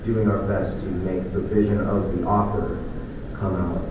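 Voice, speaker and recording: A man's voice: sits low, level tone, measured pace. A man presenting at a conference, answering questions casually as head of a production company. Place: live conference room. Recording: echoey room, distant, noisy.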